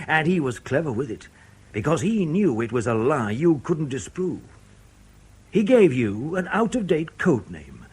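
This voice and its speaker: A spy-service interrogator in a radio play, sardonic and colloquial. His pitch swings freely with a slightly husky edge, and he delivers threats as dry, deadpan understatement. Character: sardonic, menacing male British intelligence interrogator. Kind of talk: radio drama acting.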